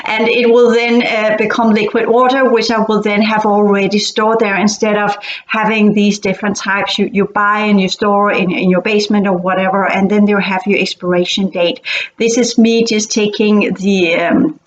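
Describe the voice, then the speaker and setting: A woman from Denmark, not a native English speaker, talking casually as host and often pausing to search for words. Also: mid-range voice, level tone. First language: Danish. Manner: hesitant, searching for words with many fillers and restarts. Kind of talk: casual explanatory talk. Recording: echoey, dull-sounding room recording.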